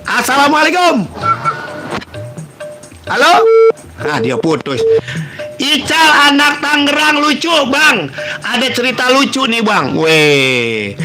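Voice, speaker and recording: High-pitched joking Betawi man with music. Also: huge pitch swings, slow pace, pauses often, rough recording. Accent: Betawi Jakarta. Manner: banters, teases listeners, breaks into loud bursts. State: runny nose, sniffly. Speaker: comedic radio-style host reading listener questions. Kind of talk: joking commentary and pantun.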